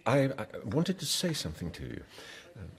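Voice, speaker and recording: An actor playing a shy, earnest, awkward gentleman in a period radio drama, halting, stammering and stiffly formal. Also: medium pitch, wildly swooping pitch. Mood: nervous, earnest. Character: shy, awkward, earnest colonial suitor and dull husband.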